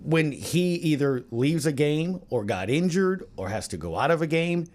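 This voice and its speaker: A man's voice: on the high side, lively intonation, normal speed, darkish tone. An American man hosting a sports podcast, chatting casually and thinking aloud in a loose, rambling way. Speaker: podcast host.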